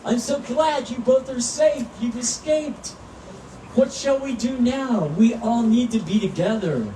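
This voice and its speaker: A man's voice: very high voice, unhurried, warm tone, pitched above the performer's own voice. A man voicing an eager young male lion in a children's storybook, earnest and exclaiming. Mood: excited. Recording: roomy, distant mic, noisy ambience.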